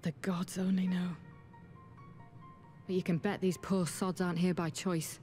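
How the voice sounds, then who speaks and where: A woman's voice: fairly low pitch, level tone, measured pace, mellow, faint music behind, close to an everyday voice. An actress playing a grim female fighter in a video game, terse and commanding. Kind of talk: dramatic video game acting.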